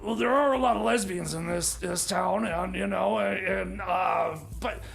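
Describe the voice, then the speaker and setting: A man's voice: sits high, measured pace, creaky, a bit bright, exaggerated voice. A man playing a flustered, scheming mechanic in improvised radio comedy, stammering, repeating himself and rambling hesitantly. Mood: flustered, defensive. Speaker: comic radio show sidekick.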